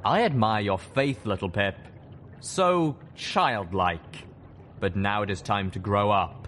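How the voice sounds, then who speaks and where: A man's voice: fairly low pitch, takes it slowly, fairly bright tone, a little hiss or hum. A man voicing a condescending, grandiose male pony leader, pompous and patronising, in an audiobook. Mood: condescending, amused. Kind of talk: dramatised audiobook storytelling.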